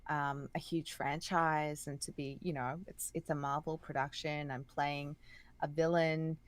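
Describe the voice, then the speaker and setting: A woman with an Australian accent, a guest chatting enthusiastically in an interview and sometimes pausing to search for words. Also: low-pitched, level tone. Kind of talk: casual interview chat.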